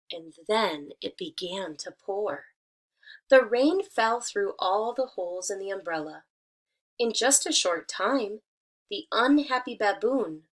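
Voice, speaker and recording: A woman's voice: mid-range voice, fairly bright tone. A woman speaking as a teacher in a read-aloud lesson for young children, addressing them directly and explaining things simply.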